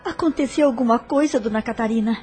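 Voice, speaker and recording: An actress playing an earnest, anxious, curious woman with a breathy, husky voice in a radio soap opera. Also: fairly high pitch, quick pace, mellow, soft background music, close to an everyday voice. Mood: alarmed, curious.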